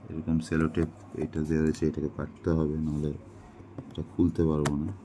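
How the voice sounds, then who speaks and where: A man's voice: very deep voice, flat delivery, brisk, dark tone, slight background noise. A man casually narrating an unboxing in an explanatory way, mixing in English phrases. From India. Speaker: product unboxing presenter.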